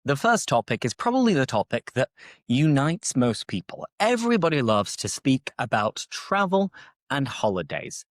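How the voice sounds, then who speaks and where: A man's voice: a little high, dry recording, clean studio sound. A British man hosting an English-learning podcast, chatting casually off the top of his head and explaining phrases as he goes. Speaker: English teacher and podcast host. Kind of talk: casual unscripted teaching monologue.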